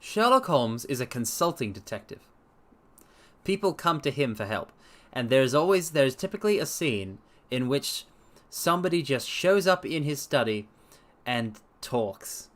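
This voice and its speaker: Male livestream reader: medium pitch, playful, theatrical, casual commentary. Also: big pitch swings, a little slow, fairly bright tone. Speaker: streamer doing a dramatic reading.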